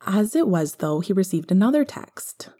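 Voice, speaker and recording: A woman's voice: mid-range voice, big pitch swings, moderate pace, mellow, soft background music. A woman reading a story aloud as scripted narration, as a podfic reader.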